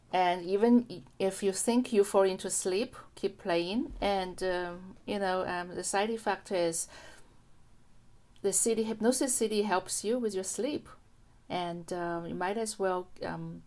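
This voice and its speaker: Middle-aged woman hypnotherapist, kinda low voice, calm easygoing explaining with ums and restarts. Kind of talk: casual explanatory talk to camera.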